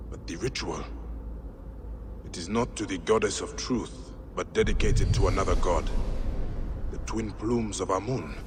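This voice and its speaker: An actor playing a weary, resolute warrior of ancient Egypt in a video game, grave, measured and dry. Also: male voice, deep voice, noisy background. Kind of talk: video game cutscene acting.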